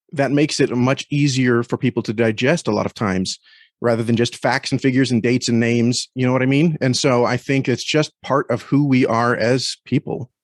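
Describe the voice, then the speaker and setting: A man talking casually as an interview guest, earnest and reflective, with halting phrasing. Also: medium pitch, no echo, studio quality. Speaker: podcast guest and story critic.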